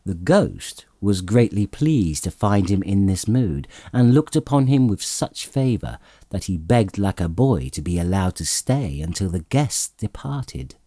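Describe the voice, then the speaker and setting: A man narrating a classic story as an audiobook, reading in an expressive, theatrical way with dramatic emphasis. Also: deep voice, measured pace, hardly any pauses, no room sound, studio quality.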